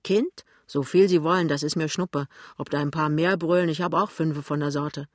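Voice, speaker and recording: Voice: mid-range voice, steady tone, moderate pace, no room sound, clean studio sound, lightly acted. A reader voicing a blunt, worn-out working-class landlady in an audiobook, brusque and colloquial with a resigned edge. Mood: brusque, indifferent.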